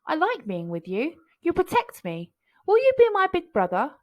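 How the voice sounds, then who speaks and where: A woman's voice: sits high, huge pitch swings, moderate pace, voice pushed up. A woman voicing a small, plucky, affectionate boy in a children's storybook, with an eager manner.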